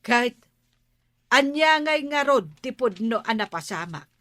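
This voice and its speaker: An actress playing a chatty, inquisitive village woman in a radio comedy drama, with an animated, prodding manner. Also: fairly high pitch, unhurried, dry recording. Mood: curious, insistent.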